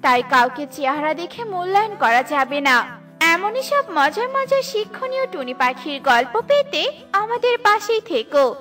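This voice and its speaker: A woman's voice: very high voice, big pitch swings, measured pace, a bit bright. A woman narrating a scripted children's story as a storyteller, with music behind her.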